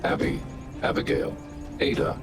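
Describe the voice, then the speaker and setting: A man's voice: a little slow, over music, natural voice. A man voicing an emotionless, hulking robot guardian in a video game, with clipped mechanical delivery. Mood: flat, procedural.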